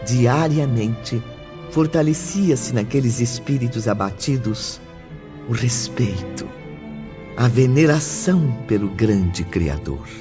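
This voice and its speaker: A male narrator reads an audiobook solemnly and slowly. His voice sits at a medium pitch. The recording is slightly muffled, with music clearly behind him. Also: lively intonation. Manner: solemn, deliberate.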